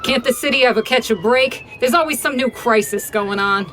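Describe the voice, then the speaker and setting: An actress playing a wry, feisty city police officer in a cartoon drama, sardonic and punchy. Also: female voice, fairly high pitch, normal speed, lightly acted. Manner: sardonic, forceful. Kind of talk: animated audio drama acting. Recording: faint vehicle noise behind.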